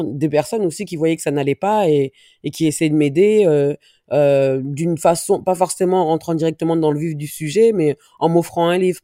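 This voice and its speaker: A woman from France speaking as an interview guest, giving a personal testimony and pausing often to search for her words. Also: sits low, warm tone, dry recording, clean studio sound. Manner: halting, searches for words, many restarts. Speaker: interview guest, dance teacher.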